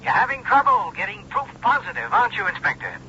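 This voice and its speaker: An actor playing a smug retired criminal who slyly and politely needles a police inspector in a radio drama. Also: male voice, sits high, sing-song, quick pace, sharp tone, heavily acted. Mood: smug needling. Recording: dull, noisy old radio recording.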